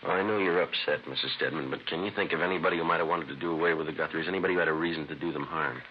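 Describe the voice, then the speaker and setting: Low, quick-talking police sergeant. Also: male voice. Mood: polite, sympathetic. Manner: clipped, matter-of-fact questioning. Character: calm, businesslike police homicide sergeant. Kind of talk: 1950s radio crime drama acting. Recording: dull, noisy old radio recording.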